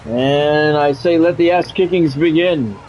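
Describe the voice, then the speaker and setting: A man's voice: fairly high pitch, measured pace, a little mellow, soft background music. An American man chatting casually and doing sports commentary as a game streamer, informal and wry with a self-deprecating streak. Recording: dull, lo-fi microphone sound.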